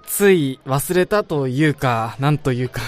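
A man's voice: mid-range voice, animated, unhurried, faint music behind, close to an everyday voice. An actor playing an apologetic, reflective young man in a radio drama, speaking in an understated, hesitant way. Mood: sheepish. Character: apologetic, quietly reflective young man, telling his own story. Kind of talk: radio drama acting and narration.

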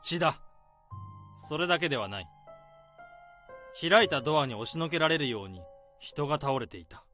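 A man in his thirties narrating a radio drama in the first person, in a slow, subdued, deadpan way. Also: on the high side, faint music behind. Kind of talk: radio drama inner monologue.

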